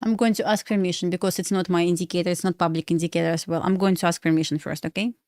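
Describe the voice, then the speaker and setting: A woman's voice: fairly low pitch, fairly even intonation, very fast, darkish tone, no room sound, clean studio sound. A young woman from Belarus, a livestream host and day trader, chatting casually with viewers in full of fillers. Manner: chatty, addresses viewers as guys, frequent uh fillers, non-native phrasing.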